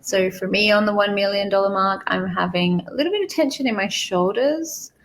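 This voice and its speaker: Woman doing a guided meditation, lots of vocal fry, slow n soothing, bit of noise behind her. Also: mid-range voice, a bit bright. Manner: slow, soothing, repeats phrases with pauses. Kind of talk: guided money meditation, spoken softly to the listener.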